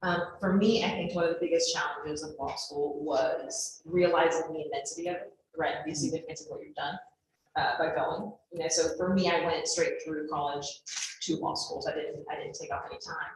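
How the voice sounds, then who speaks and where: Woman on a law panel, emphatic, lowish voice, in a very echoey room. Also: steady tone, fairly quick. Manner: emphatic, repeats "right?". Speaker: lawyer panelist. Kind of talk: panel answer. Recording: very echoey room, faint noise.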